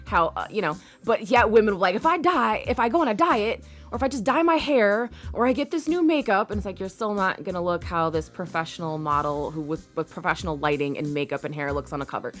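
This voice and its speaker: Woman podcast co-host, mid-pitched, rambling casual talk. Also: lively intonation, fast, few pauses, fairly bright tone, faint music behind. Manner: rambling, thinking aloud with many fillers. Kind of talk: casual chat.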